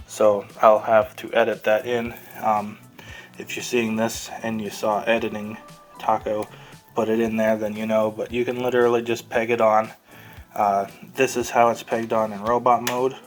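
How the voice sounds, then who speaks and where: A man hosting a casual, unscripted toy review, talking in a rambling, easygoing way as he handles the item. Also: on the low side. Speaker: toy reviewer and host of the show.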